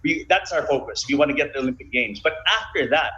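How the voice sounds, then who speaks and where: A man's voice: mid-range voice, quick pace, sharp tone, roomy sound. A man explaining things articulately as an interview guest in casual talk, heard over dull online call audio. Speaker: guest, bobsled team president.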